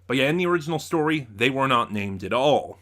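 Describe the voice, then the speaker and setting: Bright-voiced man, rambling casual reviewer. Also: medium pitch, expressive, fast. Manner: rambling, over-explaining, with asides and self-corrections. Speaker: host of a review channel. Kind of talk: casual unscripted review talk to camera.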